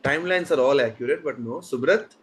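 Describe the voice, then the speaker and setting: A man's voice: medium pitch, fast talker, husky. A man with an Indian English accent hosting an online quiz, reading questions steadily and chatting between answers. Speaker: quizmaster.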